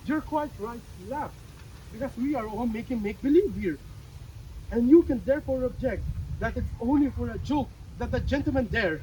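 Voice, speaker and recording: A man's voice: very high voice, mellow. An actor in a dramatic play reading, playing an earnest, philosophical man with a formal, emphatic, argumentative manner. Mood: insistent, challenging. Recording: distant mic, faint background noise.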